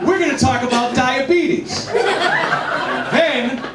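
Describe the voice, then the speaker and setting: A man's voice: very high-pitched, huge pitch swings, extremely slow. A man from Boston with a Boston accent doing a wry, punchy stand-up set in a restaurant. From Boston, Massachusetts. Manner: wry, self-deprecating, punchy delivery with loud mock announcer shouts. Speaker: stand-up comedian. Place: Mexican restaurant, indoors. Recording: echoey room, distant mic, audience noise.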